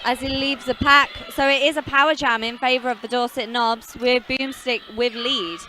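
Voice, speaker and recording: Woman play-by-play caller, bright and forceful, slightly high, faint ambient hiss. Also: fairly even intonation. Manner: brisk play-by-play calls, dry humour. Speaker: roller derby commentator. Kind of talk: casual live sports commentary.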